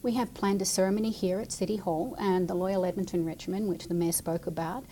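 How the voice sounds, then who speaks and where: A woman's voice: lowish voice, steady tone, fairly quick, warm tone, slight background noise. A woman explaining her work to children as a guest, in plain, chatty language. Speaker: city military liaison and veteran, guest speaker.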